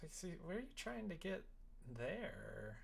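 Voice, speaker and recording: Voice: medium pitch, very animated, a little slow, vocal fry, slightly rough. A streamer giving casual live coding commentary, thinking aloud, muttering to themselves and breaking into playful exclamations. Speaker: streamer making a visual novel.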